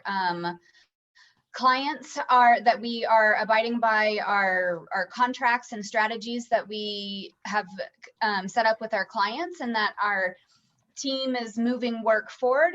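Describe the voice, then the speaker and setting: A woman introduces her firm on a video call in a casual, rambling way. She restarts and fills pauses often. The call audio is a little dull. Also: medium pitch, fairly bright tone. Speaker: communications firm vice president.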